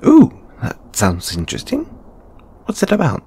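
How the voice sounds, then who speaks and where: A man voicing a huffy, easily flustered male lamia flatmate in an intimate audio roleplay, halting and defensive. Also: high-pitched, slightly rough, exaggerated voice. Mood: curious, pouty. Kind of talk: intimate one-sided audio roleplay acting.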